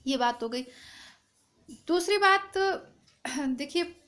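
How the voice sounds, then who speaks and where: A woman teacher with a strained, hoarse voice, chatting to her students through an exam syllabus, recorded at home without a proper mic. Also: sits high, lively intonation, takes it slowly, a bit bright. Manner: chatty, reassuring, addresses students directly. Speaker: Hindi exam-prep teacher. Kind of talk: informal lesson to camera. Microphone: no proper mic, phone or device built-in.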